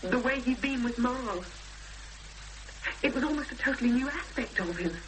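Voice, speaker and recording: A woman plays an anxious young fiancée in a 1970s radio drama. She is concerned and pleading, her pitch moving a lot. The old broadcast has obvious hum and crackle. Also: expressive, normal speed, lightly acted. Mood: troubled, reflective. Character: anxious, gentle young woman investigating her sister's disappearance.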